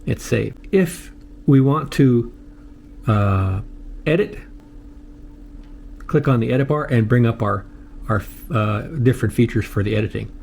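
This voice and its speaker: Older american guy explaining stuff casually, gravelly, halting, some background noise. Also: mid-range voice, animated, a little mellow. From United States. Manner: halting, plain-spoken, repeats himself. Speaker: host of a how-to video channel. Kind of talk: casual unscripted app tutorial.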